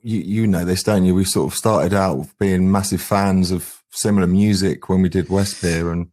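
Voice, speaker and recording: A man's voice: low voice, fairly even intonation, normal speed, a little mellow. An English man in his forties, a musician guest reminiscing casually in a hesitant, rambling way. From England. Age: 40s. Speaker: band frontman, interview guest.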